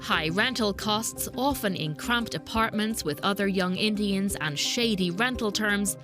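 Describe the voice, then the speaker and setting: A woman reporter narrating a business news documentary in a measured, explanatory way. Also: mid-range voice. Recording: voice-over with music bed.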